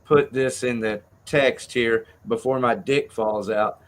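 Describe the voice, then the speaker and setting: A man's voice: mid-range voice, steady tone, slow pace. A man hosting a livestream with casual, crude and irreverent banter. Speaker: show host.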